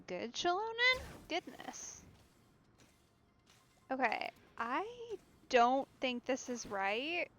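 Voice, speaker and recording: A woman's voice: high voice, big pitch swings, very slow, pauses often, fairly bright tone, soft background music. A woman giving casual live gameplay commentary as a streamer, musing and thinking aloud. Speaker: game streamer.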